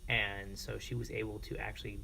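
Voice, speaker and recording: An American man streaming casually, chatting informally and reacting to viewers in a creaky, gravelly voice. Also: lowish voice, flat delivery, fairly quick, bright, forceful tone, a little hiss or hum, low-quality recording. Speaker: live streamer. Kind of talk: casual livestream chat with viewers.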